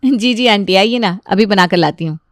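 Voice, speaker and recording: Cartoon story daughter-in-law, young, dutiful, weary female narrator acts her, mid pitch. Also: normal speed, no room sound, studio quality, natural voice. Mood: eager, polite. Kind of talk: children's cartoon story dialogue.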